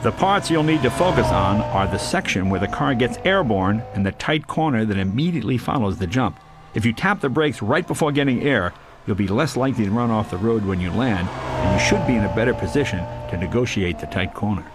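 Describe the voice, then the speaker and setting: A man narrating scripted driving instructions for a racing game in a measured, instructive manner. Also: on the low side, animated, heavy background noise. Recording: loud music over the voice. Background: loud music, car engine sounds.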